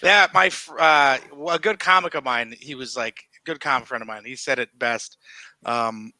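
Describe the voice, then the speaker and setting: A man's voice: mid-range voice, normal speed, sharp tone. An American man telling a family story in a casual chat, rambling with lots of "you know what I mean.". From United States.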